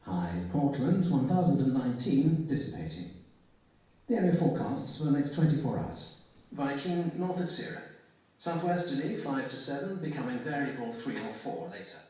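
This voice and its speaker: A man's voice: lively intonation, normal speed. A man formally reading a scripted radio shipping forecast, played over a PA in a reverberant hall. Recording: boomy reverberant hall, distant loudspeaker playback.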